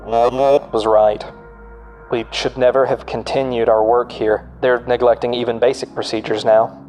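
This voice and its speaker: A man's voice: medium pitch, level tone, measured pace, a little mellow, faint music behind, natural voice, rough recording. Man reading as anxious, confiding researcher. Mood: fearful, regretful. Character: anxious male researcher secretly writing worried messages. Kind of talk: read-out in-story message.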